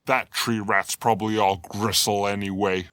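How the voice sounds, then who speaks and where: A man's voice: fairly low pitch, flat delivery, moderate pace, a bit bright, dry recording, studio quality, natural voice. A man voicing a stubborn, hungry and vengeful predator animal in a dramatic comic dub. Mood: wary, grumbling. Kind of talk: dramatic comic fandub acting.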